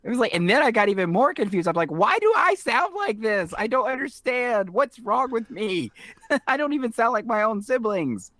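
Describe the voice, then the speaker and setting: A man's voice: very high voice, sing-song, normal speed, fairly bright tone, no echo. A man from the Southern US co-hosting a podcast, chatting casually and laughing often. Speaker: podcast co-host. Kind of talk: casual movie recap chat.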